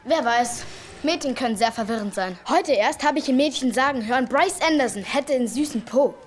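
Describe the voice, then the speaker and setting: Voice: sits high, expressive, brisk, faint music behind. A performer playing a scheming, self-important middle-school boy in a German audio drama, earnest and cocksure, turning whiny when flustered.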